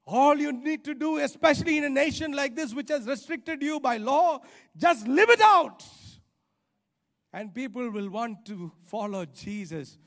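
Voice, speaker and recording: A middle-aged male preacher with an Indian accent delivers a sermon in a high voice. He is emphatic and repeats phrases to drive them home. His handheld microphone carries through a large, reverberant auditorium. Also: lively intonation, unhurried, creaky, fairly bright tone. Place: large church auditorium stage. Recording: reverberant hall sound.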